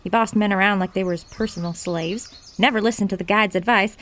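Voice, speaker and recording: A woman's voice: mid-range voice, steady tone, brisk, a bit bright, close to an everyday voice. A woman playing the sleuth's blunt, plainspoken friend in a radio drama.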